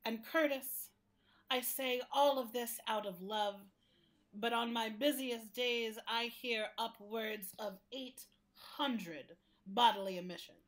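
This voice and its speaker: A woman playing a talking library building in a radio play, gentle but fussy, with a confiding, exasperated manner. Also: a little high, takes it slowly. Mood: wistful, fussy. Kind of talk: radio play acting in interview form.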